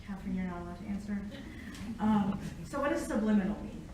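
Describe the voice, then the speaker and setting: A woman's voice: mid-range voice, expressive, fast, mellow. A middle-aged American woman giving an informal academic lecture, conversational and engaging her listeners directly. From United States, born in Japan. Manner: conversational, engages the audience with questions. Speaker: academic guest speaker, Latin researcher. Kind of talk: informal public lecture with personal storytelling. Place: echoey indoor lecture room. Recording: echoey room, distant mic, faint noise.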